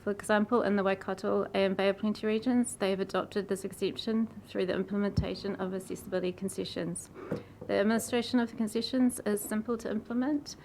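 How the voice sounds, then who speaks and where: NZ woman, croaky and gravelly, flat earnest read of a council submission. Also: medium pitch, fast, a little hiss or hum, low-quality recording. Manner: earnest, apologetic, laughs nervously at slips. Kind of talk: reading a prepared submission. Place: council chamber.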